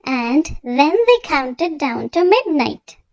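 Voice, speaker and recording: A high, youthful voice narrating a children's read-aloud story in an expressive, deliberate manner. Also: dry recording, muffled recording.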